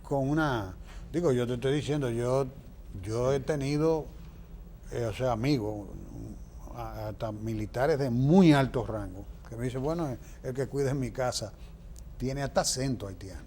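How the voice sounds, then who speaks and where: A man's voice: mid-range voice, moderate pace, dark tone, slight background noise. A man with a Dominican Spanish accent giving opinionated, explanatory political answers as an interview guest, emphatic and fond of rhetorical questions.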